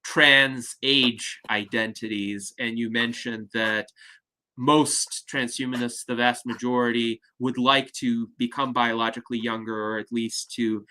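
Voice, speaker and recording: A man hosting an online discussion over a video call, explaining ideas in a measured, articulate way. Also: mid-range voice, fairly even intonation, sharp tone, poor audio. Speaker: host.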